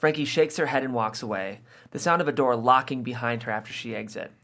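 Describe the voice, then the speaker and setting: A man's voice: mid-range voice, brisk, fairly bright tone. A man reading stage directions aloud as scripted narration.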